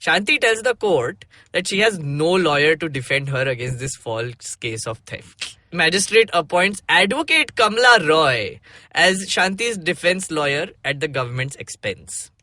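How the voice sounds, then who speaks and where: A man's voice: a little high, big pitch swings, a little slow, pushed and bright, no echo. A man with an Indian accent hosting a podcast, reading aloud and explaining casually, with some Hindi mixed into his English. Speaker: podcast host. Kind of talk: casual podcast talk with dramatic read-aloud.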